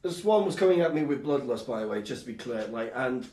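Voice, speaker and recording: A man performing a stand-up comedy routine in a rambling, animated way, often interrupting himself. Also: mid-range voice, fast. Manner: rambling, self-interrupting, animated, sometimes shouting. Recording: echoey room, distant microphone.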